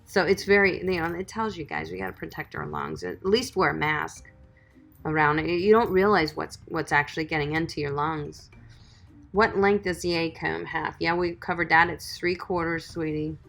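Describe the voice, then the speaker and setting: A woman's voice: low-pitched, normal speed, vocal fry, a bit bright, soft background music. A woman dog groomer chatting on a livestream, reading viewer comments and giving friendly, encouraging advice. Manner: chatty, encouraging, calls viewers sweetie and guys.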